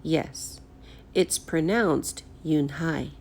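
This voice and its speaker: A woman's voice: deep voice, extremely slow, a little mellow, a little hiss or hum, exaggerated voice. A woman voicing a kindly, helpful male shopkeeper in a storybook reading. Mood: friendly. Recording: roomy, echoey home recording.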